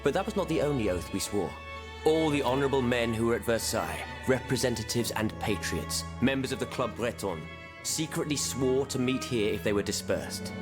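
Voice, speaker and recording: An actor playing a solemn, persuasive revolutionary in a video game, measured and formal. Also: male voice, mid-range voice, lively intonation, close to an everyday voice. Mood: solemn, proud. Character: grave, solemn French revolutionary leader, discreet and persuasive. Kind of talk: video game cutscene acting. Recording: game music behind.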